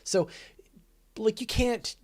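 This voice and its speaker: A man's voice: sits high, slow pace, darkish tone. A man hosting a live question-and-answer show, explaining things in an informal, emphatic way and repeating phrases for stress. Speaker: host of a live astronomy Q&A show.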